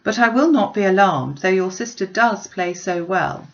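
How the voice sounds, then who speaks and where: A spirited young gentlewoman from a storybook, voiced by a woman talks with arch wit. She is playful and mock-serious, teasing as she goes, with a creaky edge to the voice and a slightly muffled recording. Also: medium pitch, expressive, moderate pace, natural voice. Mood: playful defiance. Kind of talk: storybook reading.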